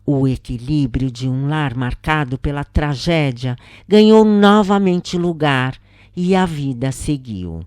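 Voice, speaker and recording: A woman with a Brazilian accent reading a scripted first-person spiritual account in a solemn, measured manner, with deliberate pauses. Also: sits low, darkish tone, dry recording, studio quality.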